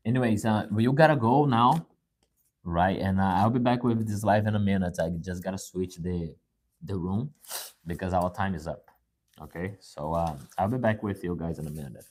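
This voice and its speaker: Low husky brazilian guy talking english, earnest motivational livestream, keeps saying right?. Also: moderate pace, darkish tone. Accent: Brazilian Portuguese. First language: Portuguese. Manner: earnest, repeats words and restarts, asks "right?". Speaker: live stream host. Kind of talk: motivational live talk.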